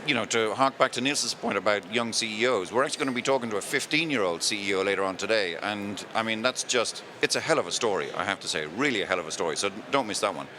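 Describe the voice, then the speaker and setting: A man talking casually in an interview, enthusiastic and quick as he promotes upcoming sessions. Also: fairly low pitch, animated, noisy background.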